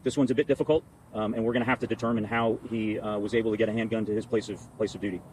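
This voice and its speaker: An American man, an army general, giving formal, halting answers with repeated words at a press conference. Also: fairly low pitch, level tone, darkish tone, a little hiss or hum, low-quality recording.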